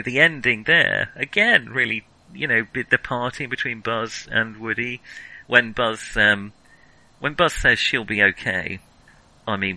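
A man with a strikingly bright, sharp-edged voice, recorded dry and close. He talks as a podcast host in casual, analytical film discussion. His delivery is enthusiastic but halting, with stammers as he hunts for the next word. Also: lowish voice, vocal fry, no room sound, poor audio. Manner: hesitant, stammering, searching for words.

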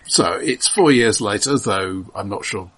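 A man co-hosting a casual film discussion, explaining in a halting, considered way. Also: on the low side, animated, bright, forceful tone, slightly rough. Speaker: podcast co-host.

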